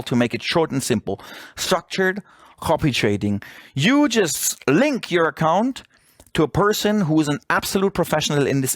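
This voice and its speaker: A man's voice: fairly high pitch, dry recording, studio quality. A man who speaks English as a second language gives an informal, persuasive sales presentation, often asking questions and answering them himself. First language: not English. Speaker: webinar presenter.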